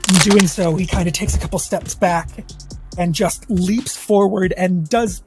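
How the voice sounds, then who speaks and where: A man at a tabletop game excitedly describing his character's punches and kicks. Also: high voice, a little slow, faint music behind. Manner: excited, energetic action descriptions. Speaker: player. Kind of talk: playing a monk at a tabletop game.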